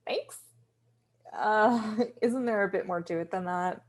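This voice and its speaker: A woman's voice: mid-range voice, slow, natural voice. A woman playing a hesitant, self-doubting new burlesque performer in a naturalistic table read, tentative and understated. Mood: shy, wry.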